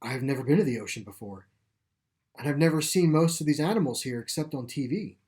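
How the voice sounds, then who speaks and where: A man's voice: medium pitch, animated, brisk, darkish tone, lightly acted. Man voicing an earnest, emotional young boy. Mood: curious. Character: earnest, grief-stricken boy who loves his dog. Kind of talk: storybook character dialogue.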